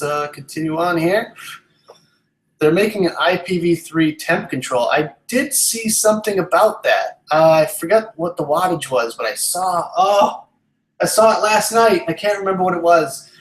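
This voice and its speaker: A man's voice: a little high, expressive, unhurried, roomy sound, poor audio. A man in his twenties hosting a live stream, chatting casually and answering viewers as he rambles and thinks aloud. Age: 20s. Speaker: live stream host, vape product reviewer.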